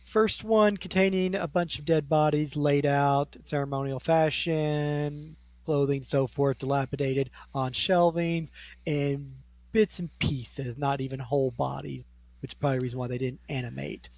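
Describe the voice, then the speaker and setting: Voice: medium pitch, steady tone, darkish tone, no echo. Dry-sounding tabletop game master narrator. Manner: descriptive, unhurried scene narration.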